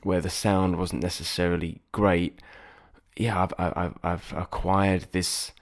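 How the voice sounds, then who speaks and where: Male singer-songwriter, deep nasal voice, rambling and self-critical vlog chatter. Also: level tone, unhurried. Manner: rambling, self-deprecating, frequent restarts. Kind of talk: reflective casual vlog talk.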